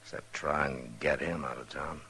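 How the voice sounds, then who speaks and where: An actor playing a steady, weary frontier lawman in a 1950s radio western, measured and plain-spoken. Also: extremely low pitch. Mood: earnest, firm. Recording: noisy old-time radio drama recording.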